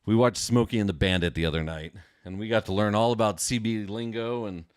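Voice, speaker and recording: A middle-aged American man with a low voice and a dry, close sound. He chats informally as a podcast host, enthusiastic and full of casual slang. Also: a little fast, darkish tone, clean tone, no echo. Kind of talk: casual solo livestream chat.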